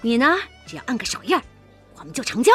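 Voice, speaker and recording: A woman's voice: on the high side, big pitch swings, quick pace, faint music behind. An actress playing a wheedling, snobbish, scheming businesswoman with theatrical exclamations in a Chinese radio drama. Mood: coaxing. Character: brisk, coaxing, snobbish businesswoman and scheming partner.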